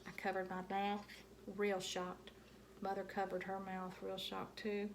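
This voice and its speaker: A woman reading a children's book aloud in an expressive, animated storytelling style with dramatic emphasis. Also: medium pitch, measured pace, noisy background.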